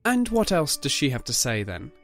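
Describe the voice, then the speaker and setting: A man's voice: a little high, wildly swooping pitch, normal speed, a bit bright, soft background music. Man playing a sceptical, irritable young girl's dad. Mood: sceptical, wry. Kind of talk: audio drama acting.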